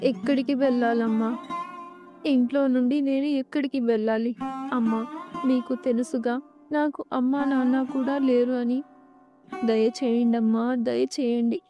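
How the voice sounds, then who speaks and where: A woman's voice: a little high, fairly even intonation, normal speed, lo-fi sound, close to an everyday voice. A woman voicing a meek, wounded young daughter-in-law in a cartoon moral story, plaintive and pleading, with music behind. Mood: desperate pleading.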